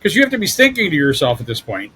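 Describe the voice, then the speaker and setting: A man in his forties hosts a casual interview show and turns often to speak to his listeners. His voice sits a bit high and swings widely in pitch as he restarts his thoughts. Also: huge pitch swings, fast talker, bright, forceful tone, a little hiss or hum. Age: 40s. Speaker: podcast host.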